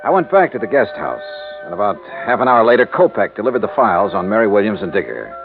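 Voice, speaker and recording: A cool, laconic American male investigator narrating his own case in fifties radio crime style. His delivery is brisk and animated in pitch. The recording is tinny and band-limited, with music clearly behind him. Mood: measured narrating. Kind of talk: 1950s radio crime drama acting.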